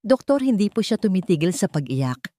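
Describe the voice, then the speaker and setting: A woman's voice: medium pitch, sing-song, fairly quick, warm tone. A woman voicing a desperate, proud wealthy father in an audio story, anxious and guarded. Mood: worried, hesitant. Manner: hesitant, guarded.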